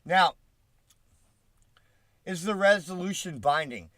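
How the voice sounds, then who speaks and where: A congested man talks on a live stream in a slightly high voice, reading news reports aloud and cutting in with sarcastic, profane asides. The sound is close and dry, with no room around it. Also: expressive, slow pace, a bit bright, no echo. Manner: swears freely, mocking asides. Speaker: political commentary streamer.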